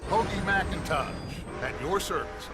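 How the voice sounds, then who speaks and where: A man's voice: high-pitched, a little slow, over loud music. A man playing a genial, theatrical con man with grandiloquent, ingratiating speech in a Western video game. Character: genial, theatrical older male con man gang leader. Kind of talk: cinematic video game acting.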